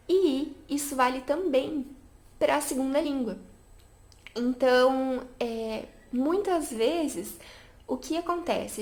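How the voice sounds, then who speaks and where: Woman, fairly high voice, chatty vlog, lots of fillers, upbeat. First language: Portuguese. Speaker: language vlogger. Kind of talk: casual chat to camera.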